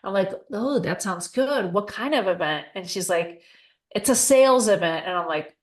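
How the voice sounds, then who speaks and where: A woman's voice: mid-range voice, animated, normal speed. A middle-aged woman from Spain, a podcast guest telling her story in a chatty way and acting out remembered dialogue. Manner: chatty, acts out quoted dialogue. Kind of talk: casual storytelling interview. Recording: roomy echo.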